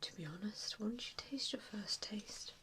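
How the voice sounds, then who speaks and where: A woman whispering an ASMR roleplay as a gentle vampire mentor, with a hesitant, reassuring and softly spoken manner. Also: medium pitch, animated, moderate pace. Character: gentle, self-doubting female vampire mentor to new recruits.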